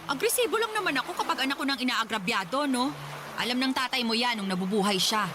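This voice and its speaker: A woman with a Filipino accent playing a protective, teasing, expressive widowed mother in a Tagalog radio drama. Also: a little high, fast, noisy background, natural voice. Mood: indignant, protective. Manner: expressive, motherly, half-joking menace.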